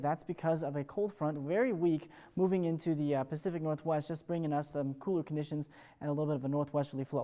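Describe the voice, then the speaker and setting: A man's voice: a little high, mellow. A man with an American accent presenting a morning television weather forecast in a chatty, upbeat broadcast manner. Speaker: television morning show meteorologist.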